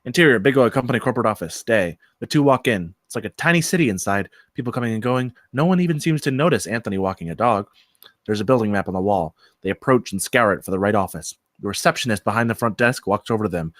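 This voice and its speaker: A man's voice: lowish voice, animated, fast, fairly bright tone, no echo, studio quality. A man narrating scene headings and action from a film script at a table read. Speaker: narrator of stage directions.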